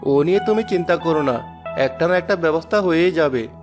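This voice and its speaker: A man's voice: a little high, a little fast, lightly acted. An actor playing a humble, polite and hardworking poor village father in a cartoon. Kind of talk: cartoon voice acting. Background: background music.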